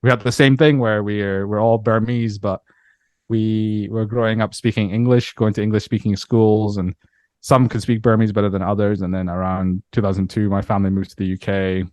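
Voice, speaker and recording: A man from Myanmar in his thirties with a Scottish accent, talking through his life story as an interview guest, relaxed and reflective with many repeated words. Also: low-pitched, dry recording. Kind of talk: casual interview chat.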